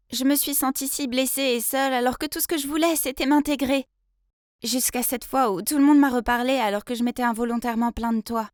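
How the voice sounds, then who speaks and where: An earnest young office intern played by a woman narrates her own dramatic story. Her voice sits on the high side, expressive and confiding, in a very dry recording. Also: no echo, lightly acted.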